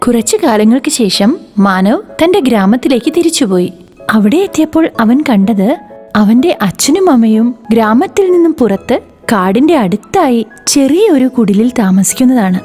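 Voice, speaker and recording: A woman's voice: a little high, warm tone. A woman narrating a scripted children's moral story.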